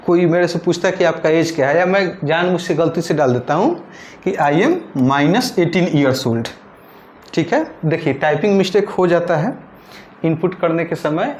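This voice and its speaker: A man teaching a patient, step-by-step lesson, his voice sitting a little higher than most men's. He checks in often with a quick "okay" and moves through each point carefully. A faint hiss runs under the recording. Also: darkish tone. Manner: patient, repeats points with frequent "ठीक है". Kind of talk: screen-recorded tutorial lesson.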